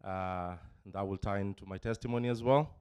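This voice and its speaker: A man in his forties from Kenya giving a personal testimony in church, halting with many fillers and restarts, emotional at times. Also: on the low side, fairly even intonation, a little mellow, clear, resonant tone, no room sound, clean studio sound. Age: 40s. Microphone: handheld microphone.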